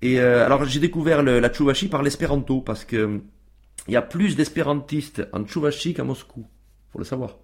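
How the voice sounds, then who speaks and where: A man's voice: mid-range voice, a little fast. Guy on a radio show rambling along, stops and restarts a lot, says euh constantly. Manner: hesitant, many fillers and restarts. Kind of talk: casual radio interview.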